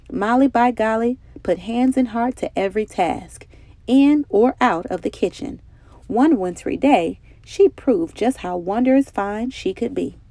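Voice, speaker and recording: A woman narrates a children's storybook with expressive, emphatic phrasing. Her voice sits at a medium pitch with some gravelly fry, recorded close and dry. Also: dry recording. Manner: dramatic, carefully phrased storytelling with emphatic delivery. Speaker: storybook reader.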